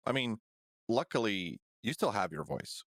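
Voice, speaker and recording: A man hosting a casual podcast, easygoing, chuckling and a bit halting. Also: lowish voice, sing-song, no echo, clean studio sound. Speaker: podcast host. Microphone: studio microphone.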